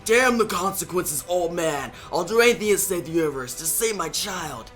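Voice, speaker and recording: A man's voice: a little high, normal speed, bright, forceful tone, faint music behind. A man voicing a fierce, defiant ancient antihero from a comic book, with dramatic declarations. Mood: furious, determined. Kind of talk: comic book story reenactment.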